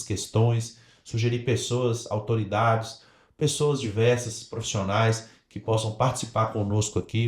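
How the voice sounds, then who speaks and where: Brazilian guy podcast host, lowish voice, earnest and kinda formal, talking right to the audience. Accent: Brazilian Portuguese. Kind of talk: podcast talk, addressing the audience directly.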